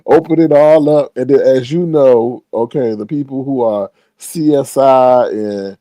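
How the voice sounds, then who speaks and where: Congested man gossiping, dark dry voice. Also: a little slow, dry recording, poor audio. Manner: animated, laughing, loud pitch jumps on reactions; slangy, says "child" often. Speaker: commentary channel host. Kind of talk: casual gossip commentary stream.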